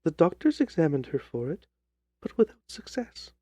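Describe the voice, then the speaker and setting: Man voicing a soft, distressed young gentlewoman storyteller. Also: a little high, sing-song, normal speed, slightly rough, no room sound. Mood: earnest, troubled. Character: distressed young gentlewoman recounting her sister's terrifying death. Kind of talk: dramatic audiobook storytelling.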